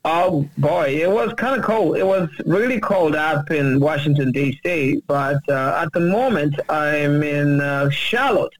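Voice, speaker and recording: A man's voice: fairly high pitch, unhurried, few pauses, dry recording, low-quality recording. A man, a guest telling his life story earnestly on a faith radio talk show. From Uganda. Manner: earnest, upbeat storyteller who chuckles easily. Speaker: guest, worship minister. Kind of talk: casual radio interview, personal story.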